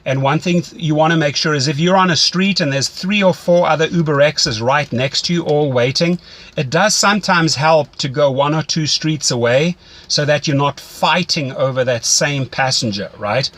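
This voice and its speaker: A man's voice: a little high, normal speed, a bit bright. Man coaching in a conversational way. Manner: instructive, repeats phrases for emphasis. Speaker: rideshare driving coach. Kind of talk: conversational phone mentoring.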